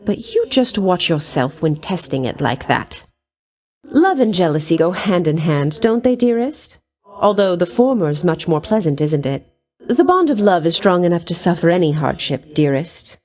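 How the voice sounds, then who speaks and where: An actress playing a flirtatious, doting goddess of love in a video game, with a coy, honeyed, theatrical delivery. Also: female voice, fairly low pitch, expressive, normal speed, a little mellow, close to an everyday voice. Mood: doting, teasing. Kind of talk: video game character voice lines.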